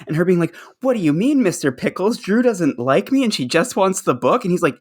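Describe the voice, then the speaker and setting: Very animated man on a podcast. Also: high-pitched, fairly quick. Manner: animated, acts out imagined exchanges. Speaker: podcast co-host. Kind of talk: casual fan podcast discussion.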